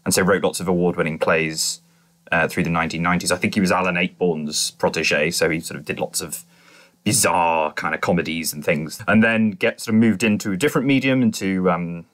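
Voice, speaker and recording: Low voiced guy on a podcast panel, casual, bit tentative, hedges a lot. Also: fairly even intonation, fairly quick, fairly bright tone. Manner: tentative, hedging with kind of and sort of. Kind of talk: casual discussion.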